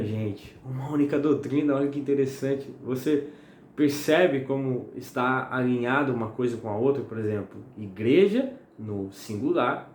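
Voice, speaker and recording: A young man from the São gives a casual sermon recorded at home at night. He is slightly hoarse and talks in a chatty, friendly way, often asking "tá?". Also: mid-range voice, a little mellow. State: slightly hoarse and sweating in the heat. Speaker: preacher. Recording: echoey room, faint noise.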